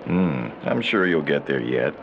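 An actor playing a calm, playful male handler who talks over the phone in a video game. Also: rumbling low, unhurried, gravelly, lo-fi sound, noisy background, exaggerated voice. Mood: teasing. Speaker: game character. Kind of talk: video game cutscene acting.

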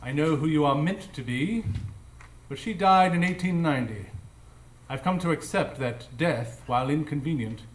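A man playing a cool, aloof, analytical gentleman detective in a live radio drama, clipped, formal and guarded. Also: on the high side, moderate pace, lightly acted. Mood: cool, probing. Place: live performance space before an audience. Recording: roomy, echoing live stage recording.

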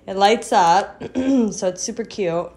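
A woman's voice: medium pitch, slow pace, a little mellow. A young American woman chatting casually as a vlogging mother, recorded on a phone at arm's length at home. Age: young adult. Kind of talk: casual vlog chat. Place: indoors at home, living room and kitchen. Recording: clear household background noise.

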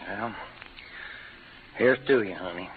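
A man's voice: lowish voice, slow. A man playing a laconic, polite gunman and card player with a folksy Western American drawl in an old radio western. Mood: gentle, courteous. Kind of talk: 1940s-50s radio western acting. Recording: tinny old radio recording, faint noise.

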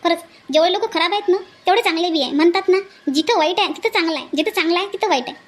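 A woman voicing a warm-hearted older cartoon village auntie, gushing and insistent, repeating herself in rustic Vidarbha Marathi. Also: extremely high pitch, racing, slight background noise. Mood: moved. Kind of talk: comedy cartoon dialogue.

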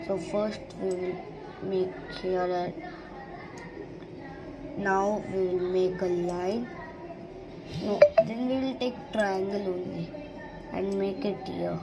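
Slow-talking woman teaching digital drawing. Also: medium pitch, steady tone, rough recording. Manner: simple instructions in short broken phrases. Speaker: art teacher. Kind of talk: step-by-step drawing tutorial. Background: background music.

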